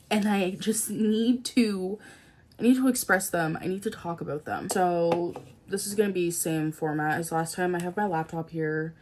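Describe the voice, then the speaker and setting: A woman's voice: lowish voice, mellow. A young woman from Canada, a beauty vlogger, chatting casually about new products, a little nasal and congested from a cold, rambling with lots of fillers. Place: indoors at home, seated in a chair.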